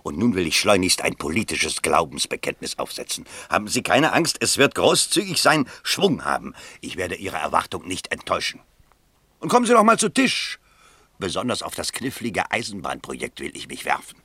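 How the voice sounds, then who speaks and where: Pompous blustering politician, lively bright voice. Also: male voice, low-pitched, normal speed, husky, no echo, exaggerated voice. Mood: placating, self-satisfied. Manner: pompous, declamatory. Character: pompous, crafty male small-town political candidate, blustering. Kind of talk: 1970s German radio play acting.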